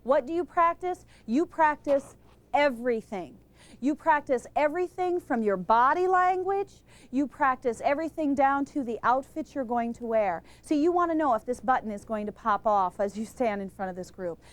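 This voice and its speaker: Woman, high voice, public speaking instructor, emphatic, asks the room questions. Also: normal speed. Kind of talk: instructional talk to a live group. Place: room with a group present.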